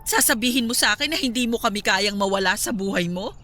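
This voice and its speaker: A hurt but resolute Filipino mother acted by a woman in a radio drama. She speaks firmly and reproachfully in a bright, forceful voice. Faint music plays behind her. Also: mid-range voice, fast, close to an everyday voice.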